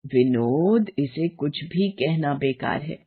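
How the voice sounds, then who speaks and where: A woman's voice: deep voice, lively intonation, slow, husky, phone-quality sound, pitched below the performer's own voice, heavily acted. A woman voicing an exasperated, nagging older mother-in-law in a cartoon story.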